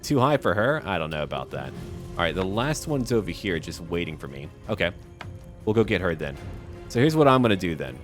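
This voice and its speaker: A man with a fairly low voice narrates his video game in a casual way. His pitch moves around a lot as he thinks aloud and talks to the characters, with game music clearly playing behind him. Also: sing-song, fairly quick. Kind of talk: casual let's-play commentary.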